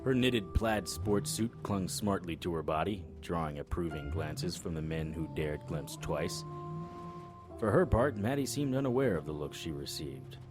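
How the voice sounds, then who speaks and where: An actor playing a serious, plain-spoken 1930s private detective in a noir audio drama. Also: male voice, deep voice, moderate pace, close to an everyday voice. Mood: wry, reminiscing. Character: earnest, dutiful 1930s private detective, a man. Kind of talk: noir audio drama acting and first-person narration. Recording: music behind the voice.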